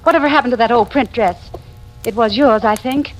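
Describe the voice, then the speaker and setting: A woman's voice: on the high side, natural voice, rough recording. An actress playing an anxious, hesitant middle-aged mother turned amateur sleuth in a 1940s radio drama, both narrating and speaking in scenes. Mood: uneasy probing. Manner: halting, hesitant, uneasy. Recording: vintage radio recording with music.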